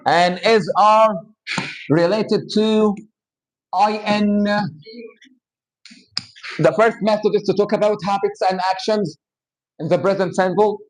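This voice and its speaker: A man with an Egyptian accent, a native Arabic speaker, teaching English grammar in a live class. His voice sits high for a man, and he talks slowly and plainly, spelling out letters and rules for his students. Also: fairly even intonation, low-quality recording. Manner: slow, explanatory, switches into Arabic to explain.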